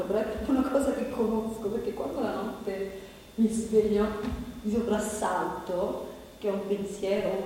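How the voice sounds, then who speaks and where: A woman performing a reflective stage monologue as an actress thinking aloud, halting and repeating phrases, as if in spontaneous conversation. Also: mid-range voice, a little mellow, close to an everyday voice. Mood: pensive. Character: reflective actress pondering how to portray women awaiting death. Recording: very echoey hall, distant, noisy.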